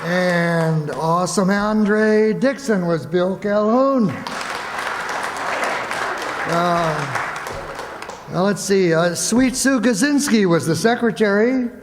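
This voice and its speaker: A man hosting a stage show, giving informal thanks to an audience in a halting, rambling, jokey way. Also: high-pitched, a crowd in the background. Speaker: host of a radio players' stage show. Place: stage in a hall before a live audience. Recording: echoey hall, audience, background noise.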